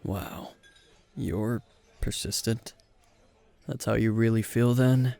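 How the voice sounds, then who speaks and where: A man playing a gruff, weary, tipsy hero teacher who is dryly flirtatious, in an intimate, deadpan ASMR roleplay with a gravelly voice. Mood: flirtatious, soft. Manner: low-key, dry, deadpan, unhurried.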